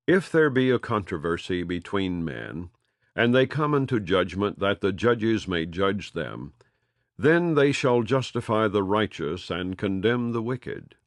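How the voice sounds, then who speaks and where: A man reading scripture aloud in a measured, solemn cadence, letting phrases fall at their ends. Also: low voice, vocal fry, no room sound, clean studio sound. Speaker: audio Bible reader.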